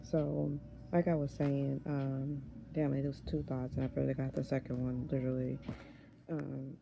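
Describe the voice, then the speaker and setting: A woman in her thirties with a hoarse, raspy voice, chatting casually in a vlog and often losing her train of thought. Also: a bass voice, level tone, measured pace, noisy background. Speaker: vlogger. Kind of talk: casual chat.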